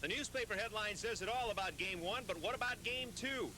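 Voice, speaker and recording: A man working as a TV sports reporter, reading scripted hockey highlight narration. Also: brisk, crowd noise. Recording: noisy 1990s local TV newscast tape.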